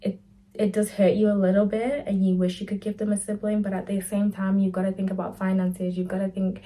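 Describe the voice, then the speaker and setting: A woman's voice: medium pitch, level tone, fairly quick, dark tone. A woman, chatting candidly to camera in a casual Q&A vlog, full of "like" and "type of thing". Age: 20s. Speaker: lifestyle vlogger.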